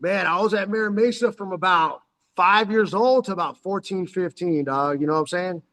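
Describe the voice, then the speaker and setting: A man's voice: high voice, normal speed, fairly bright tone, big-room sound. A man in his forties, born in Texas and raised in San Diego, telling his story as a guest in a loose, rambling way, full of street slang and "dog.". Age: 40s. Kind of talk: casual storytelling interview.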